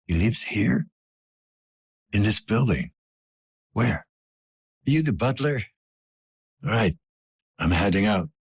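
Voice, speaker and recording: Gruff older cyberpunk cop for a game, super raspy low voice, slow n tired, terse. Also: male voice, exaggerated voice. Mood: wry, weary. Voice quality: gravelly, husky, breathy rasp. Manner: world-weary, terse, dry. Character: weary, gruff older male cyberpunk police detective. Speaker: voice actor in a video game. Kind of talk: scripted game character dialogue.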